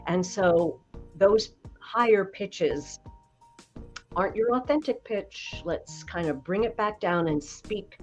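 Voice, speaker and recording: Slightly low-voiced woman in her sixties. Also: expressive, takes it slowly, faint music behind, rough recording. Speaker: voice coach guest. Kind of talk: conversational podcast interview.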